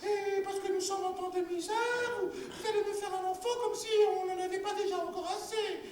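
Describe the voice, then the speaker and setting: A man's voice: very high-pitched, flat delivery, fairly quick, warm tone, pitched above the performer's own voice. A male stage actor voicing a fretful innkeeper's wife who moans, squeals and half laughs as she complains, heard from far off in an echoey hall. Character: innkeeper's wife, shrill, fretful, giggly woman. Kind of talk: stage play acting.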